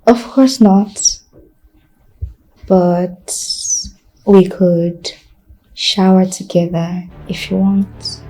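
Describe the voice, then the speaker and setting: A woman playing a slow, sultry seductress. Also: mid-range voice, fairly even intonation, dark tone, low-quality recording. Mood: seductive.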